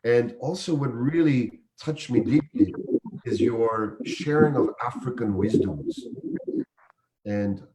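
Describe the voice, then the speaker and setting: A man's voice: mid-range voice, slow, darkish tone, poor audio. A man born in Uganda hosting a livestream interview, introducing his guest with effusive, reverent praise. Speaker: host.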